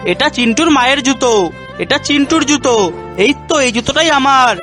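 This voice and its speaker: A performer voicing a brisk, puzzled grown-up in a funny children's cartoon. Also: sits high. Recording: loud music over the voice.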